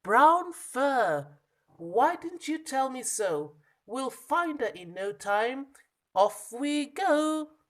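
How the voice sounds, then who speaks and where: A woman's voice: high-pitched, sing-song, drawn-out words, heavily acted. A woman voicing an eager, confident but muddled butterfly from a children's storybook, coaxing and encouraging with emphasis. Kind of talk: children's storybook character reading.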